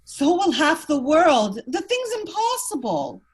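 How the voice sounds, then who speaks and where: A woman's voice: high-pitched, very animated, measured pace. A woman playing a proud, unhappy, neglected aristocratic wife in an amateur radio play read, earnest and wounded. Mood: alarmed, defiant.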